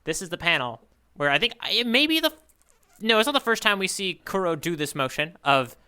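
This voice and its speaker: Bright, forceful man chatting casually. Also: sits high, very animated, brisk, smooth. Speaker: podcast co-host. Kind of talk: casual chat about manga panels.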